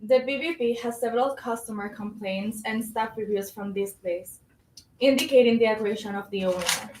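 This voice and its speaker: In an echoey room, an actress plays a determined female official in a stage drama. Her voice is fairly high, and she sets out her case in earnest, measured, formal terms. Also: darkish tone, close to an everyday voice. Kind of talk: formal monologue in a staged reading.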